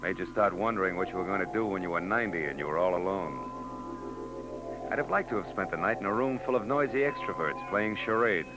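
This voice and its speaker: A man's voice: sits low, expressive, quick pace, low-quality recording. An actor playing a hard-boiled private eye who narrates his case in a 1940s radio drama, wry and world-weary. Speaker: narrator and lead. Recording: old radio broadcast with background music.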